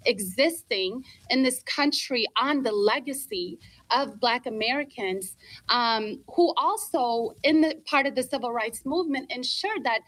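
A woman's voice: a little high, animated, unhurried, a bit bright, no room sound, low-quality recording. A woman from Ethiopia who grew up in Minnesota, telling her story as an interview guest in an earnest, emphatic way, often checking in with the listener. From born in Ethiopia, grew up in Minnesota, US. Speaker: interview guest, philanthropy leader. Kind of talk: reflective personal storytelling in an interview.

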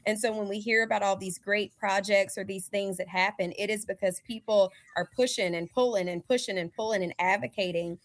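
A woman's voice: medium pitch, steady tone, brisk, hardly any pauses, no room sound. A young woman with Southern roots, speaking earnestly as a community organizer on a panel call, with frequent fillers. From Southern United States.

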